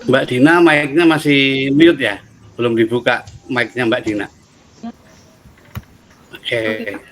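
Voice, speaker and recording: A middle-aged man with a Central Javanese accent, a university program head who gives a relaxed, explanatory interview from home over a video call, pausing often on "eh". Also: mid-range voice, background noise. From Solo, Central Java. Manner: relaxed, explanatory, with frequent "eh" pauses.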